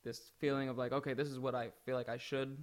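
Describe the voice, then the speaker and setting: A man's voice: medium pitch, little pitch movement, quick pace. A young man, a singer, answering interview questions casually with many restarts and fillers. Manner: hesitant, many restarts and fillers, laughs easily. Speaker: singer in a band.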